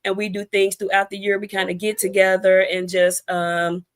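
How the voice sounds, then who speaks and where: A woman's voice: medium pitch, completely flat delivery, normal speed. An American woman talking casually as an interview guest, explaining things in a chatty, conversational way. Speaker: interview guest, author and cigar expert.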